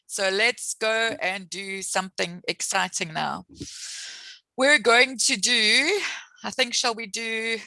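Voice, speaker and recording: A woman's voice: fairly high pitch, slow pace, vocal fry, sharp tone, no room sound. A woman teaching an English lesson over a video call, patiently explaining words with examples and encouraging her students.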